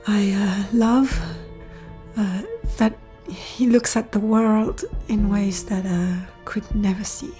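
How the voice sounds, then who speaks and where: A woman's voice: mid-range voice, steady tone, slow, mellow, soft background music, close to an everyday voice. An actress playing a young woman in an audio drama, anxious and questioning, vulnerable but stubborn, in a naturalistic, hesitant manner.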